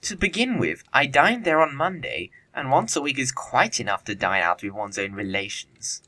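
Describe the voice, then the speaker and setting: A man's voice: mid-range voice, very animated, moderate pace, pushed and bright, exaggerated voice. A man playing a flippant, witty young upper-class bachelor in a period comedy audio play, with an arch, theatrical delivery. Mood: mock indignant.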